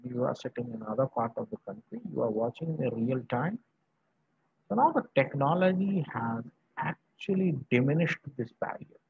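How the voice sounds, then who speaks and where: Husky Indian professor, muffled audio. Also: male voice, mid-range voice, lively intonation, dry recording. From Varanasi, northern India. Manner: emphatic, halting short phrases with repetition. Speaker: university professor. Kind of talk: lecture to online students. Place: small indoor room. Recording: muffled, dull video-call audio.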